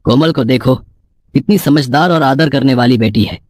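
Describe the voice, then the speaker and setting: A man's voice: mid-range voice, moderate pace, a little mellow, close to an everyday voice. A man voicing a middle-aged father and uncle in a moral story, earnest, worried and admonishing. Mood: reproachful.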